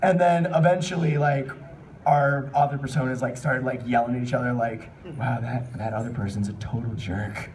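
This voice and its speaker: A man casually hosting a live convention panel, chatting in an animated, jokey, informal way. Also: mid-range voice, moderate pace. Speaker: panel host. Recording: distant mic in noisy convention room.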